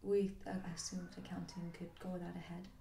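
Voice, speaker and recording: A woman playing a grumpy, blunt HR employee in a soft-spoken ASMR roleplay, deadpan and offhand, with hesitant, grumbling phrasing. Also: lowish voice, fairly quick, gravelly, reverberant, far from the mic, slight background noise. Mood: grumbling, gossipy. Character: grumpy, blunt female HR employee doing new-hire paperwork.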